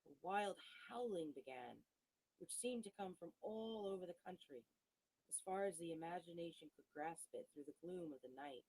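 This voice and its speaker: Low, husky woman narrating a gothic tale. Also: expressive, normal speed, a little mellow, low-quality recording. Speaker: audiobook reader. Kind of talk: dramatic scripted narration of a gothic novel.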